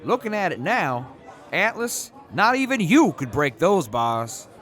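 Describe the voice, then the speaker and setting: Man as an earnest former major, polite, animated delivery, audio drama. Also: a little high, unhurried. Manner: earnest, polite, flustered when cornered.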